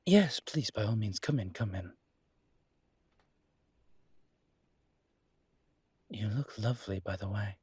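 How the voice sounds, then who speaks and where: A man playing a suave, flirtatious demon professor in an intimate audio roleplay, speaking in a courtly, teasing way with formal wording and hesitant pauses. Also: on the low side, lively intonation, a little mellow, husky, no room sound. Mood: gracious, welcoming.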